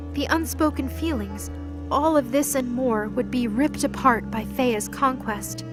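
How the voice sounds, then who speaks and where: Female narrator, high-pitched voice, scripted storytelling, audio drama, faint music behind.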